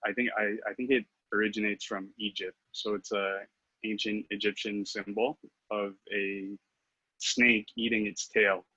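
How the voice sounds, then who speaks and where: Hesitant man with a bright tone. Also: on the low side, little pitch movement, a little slow, husky, dry recording, lo-fi sound. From Philippines, raised in Los Angeles. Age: 30s. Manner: hesitant, many fillers and restarts. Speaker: podcast guest. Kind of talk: casual interview chat.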